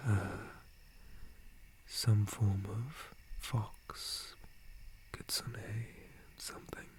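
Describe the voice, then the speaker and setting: A man playing a gentle, calm, friendly dryad forest guardian in a breathy ASMR whisper, with a soothing manner. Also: on the low side, slight background noise. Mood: friendly, relaxed. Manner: slow, soothing, unhurried. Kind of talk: ASMR roleplay.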